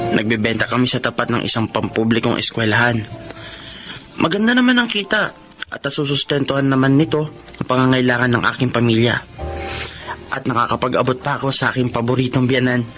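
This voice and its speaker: A man's voice: mid-range voice, a little fast, fairly bright tone, soft background music, close to an everyday voice. A man playing a hopeful, earnest young working husband in a Filipino radio drama. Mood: content narration.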